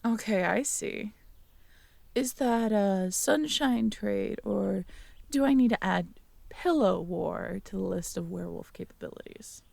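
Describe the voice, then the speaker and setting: A young woman in an ASMR audio roleplay, talking to the listener as a girlfriend, playful and teasing one moment and soft and caring the next. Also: medium pitch, lively intonation, unhurried, creaky, no room sound.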